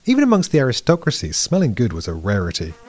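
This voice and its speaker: A British man giving a scripted podcast explanation, measured and dryly witty. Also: medium pitch, huge pitch swings, a little mellow.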